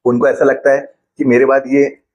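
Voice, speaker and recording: Husky-voiced man explaining finances patiently. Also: medium pitch, level tone, normal speed, a little mellow. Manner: patient, explains with everyday examples. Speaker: financial planner guest. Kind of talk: explanatory interview talk.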